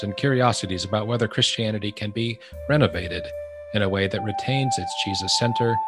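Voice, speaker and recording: A man hosting a faith podcast, reading a measured, earnest intro and then chatting with a guest with some hesitant fillers. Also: fairly low pitch. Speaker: podcast host. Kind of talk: scripted intro then interview. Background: music.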